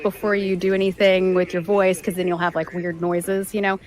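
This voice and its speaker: A woman chatting casually as a voice actor guest, telling stories in an animated way. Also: fairly low pitch, brisk. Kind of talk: casual interview chat.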